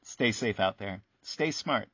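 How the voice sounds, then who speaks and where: A man's voice: on the low side, lively intonation, takes it slowly, fairly bright tone, slightly rough, heavily acted. A man playing an earnest, wry radio host who interviews buildings in a radio play, reflective and theatrical. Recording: echoey, muffled sound.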